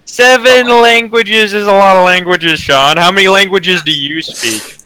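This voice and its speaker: A man's voice: sits high, a little slow, noisy background. A man from Canada chatting as a podcast co-host, rambling with lots of fillers. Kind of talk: casual gaming chat.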